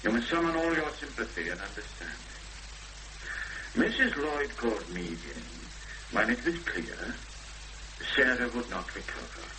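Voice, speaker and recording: An actor playing a grave, persuasive Welsh chapel minister with solemn, measured speech in a 1970s radio drama. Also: male voice, medium pitch, big pitch swings, natural voice. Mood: solemn, firm. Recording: noisy old radio broadcast recording with hum.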